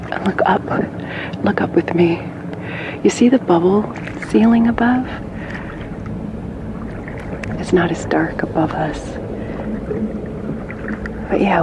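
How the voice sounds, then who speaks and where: A woman playing a playful, sassy young companion to a captive merperson in an ASMR bedtime roleplay, often whispering in a breathy, affectionate way. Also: medium pitch, natural voice. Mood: hushed awe. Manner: snarky, affectionate, hushed, chatty and halting.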